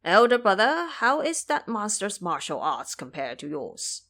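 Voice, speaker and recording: Woman playing a loud, fretful pig sidekick. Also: mid-range voice, sing-song, unhurried, full character voice. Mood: worried. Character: anxious, timid male pig-monk sidekick, foolish and loud. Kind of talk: audio drama character dialogue.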